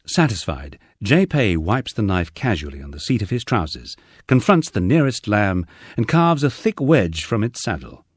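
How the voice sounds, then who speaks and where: A man's voice: deep voice, husky, no echo, studio quality. A man reading a humorous memoir as scripted audiobook narration, with a wry storytelling manner.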